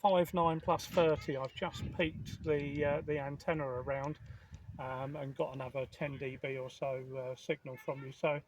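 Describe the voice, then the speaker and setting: A British man speaking as an amateur radio operator at an outdoor portable station, in a measured, halting way. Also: a little high, fairly even intonation. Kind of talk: casual two-way radio contact. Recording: very noisy outdoor recording.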